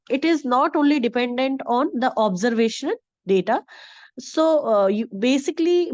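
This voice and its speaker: A woman lecturing over a video call, her voice hoarse from a heavy cold. She speaks in a halting way, full of fillers, in a somewhat high voice. The call audio is dry and dull. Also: a little mellow, dry recording. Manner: hesitant, many fillers. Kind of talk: online lecture with Q&A.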